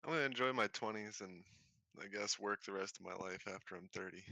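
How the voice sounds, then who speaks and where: A man in his twenties in a casual group chat, teasing dryly with deadpan replies. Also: fairly low pitch, fast talker, low-quality recording. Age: 20s.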